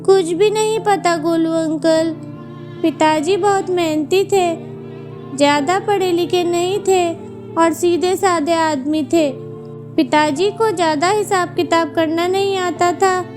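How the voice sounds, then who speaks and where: A woman's voice: extremely high pitch, slow pace. A woman voicing a troubled, trusting village schoolboy in a children's story, speaking earnestly and plaintively. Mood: worried. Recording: music behind the voice. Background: music.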